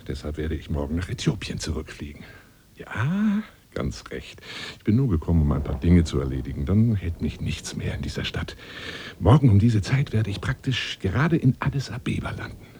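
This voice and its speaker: Lonely boastful adventurer, radio play, gravelly, swooping intonation, insinuating, theatrical, breathy near-whispers. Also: male voice, sing-song, moderate pace, warm tone, slight background noise. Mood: boastful, wooing. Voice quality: deep, gravelly. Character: lonely, boastful bachelor adventurer wooing a woman by phone. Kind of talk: radio drama monologue, one side of a phone call.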